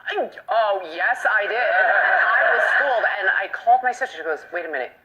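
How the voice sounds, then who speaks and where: A woman in her late twenties co-hosting a talk show, introducing segments and telling stories in a playful, animated way. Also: mid-range voice, moderate pace, highs cut off, heavy background noise. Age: late 20s. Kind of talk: lively panel chat and segment intros.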